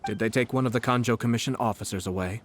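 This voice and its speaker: A man voicing a calm, shrewd young nobleman in a video game who speaks in a measured, analytical way. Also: mid-range voice, a little hiss or hum, natural voice. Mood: composed. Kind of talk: game voice acting. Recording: game audio over loud background music.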